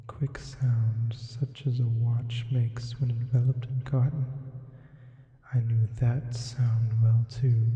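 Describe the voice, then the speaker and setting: A man voicing a sinister sleep paralysis demon, reading a Gothic horror story as hushed, deliberate ASMR with whispers and added reverb. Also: mid-range voice. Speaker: ASMR narrator. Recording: muffled, heavy long reverb.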